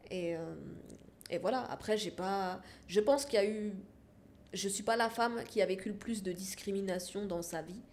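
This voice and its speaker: A woman from France speaks as a podcast guest in a casual, frank way, repeating her points for emphasis. Her voice sits at an ordinary middle pitch. A faint noise hangs under the recording. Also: a little mellow. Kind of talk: casual interview chat.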